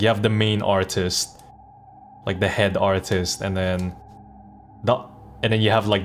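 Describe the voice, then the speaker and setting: A man streaming a game and chatting casually, thinking aloud in a rambling way. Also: low voice. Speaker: live streamer. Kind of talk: casual chat while playing a game.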